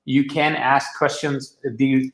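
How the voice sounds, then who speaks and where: A man's voice: mid-range voice, flat delivery, slow pace, a bit bright. A man, a guest career adviser, explaining job searching in English with fillers and restarts. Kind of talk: live career talk show. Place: office with big windows, indoors. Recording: roomy office echo.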